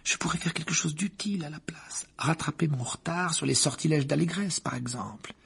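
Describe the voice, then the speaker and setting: A man voicing an exasperated, strong-willed schoolgirl in an audiobook, with an indignant, sharp delivery. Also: a little high, a little fast, creaky, breathy edge, pitched above the performer's own voice, heavily acted. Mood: exasperated whisper.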